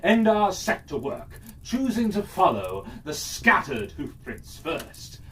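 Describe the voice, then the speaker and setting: A man narrating a scripted children's fairytale as a storyteller, expressive and deliberate. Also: sits high. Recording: distant mic with faint background noise.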